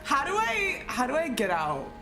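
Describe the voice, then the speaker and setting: High, animated woman streaming a game. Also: normal speed. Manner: giggly, exclamatory, hesitant repetitions. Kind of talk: live gaming commentary to chat. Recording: game music behind the voice.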